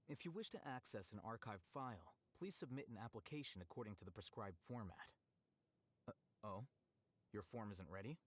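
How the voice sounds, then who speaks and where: An actor playing an aloof, dry young scholar-scribe in a video game, speaking in a measured, matter-of-fact way. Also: male voice, mid-range voice, lightly acted. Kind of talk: scripted video game character voice lines.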